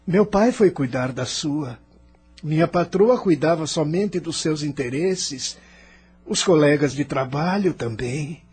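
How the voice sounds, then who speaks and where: A man's voice: fairly high pitch, a little mellow, breathy edge, full character voice. A Brazilian man acting a bitter, self-justifying spirit in the afterlife in an audio drama, defensive and questioning. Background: music.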